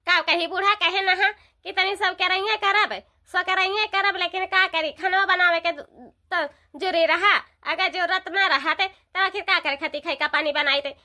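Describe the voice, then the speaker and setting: Village daughter-in-law for cartoon female performer, eastern Uttar Pradesh accent, high-pitched, talkative and emphatic. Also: steady tone, normal speed, few pauses, pushed and bright, heavily acted. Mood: explaining, complaining. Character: dutiful chatty village elder daughter-in-law. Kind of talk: Hindi-belt cartoon dialogue.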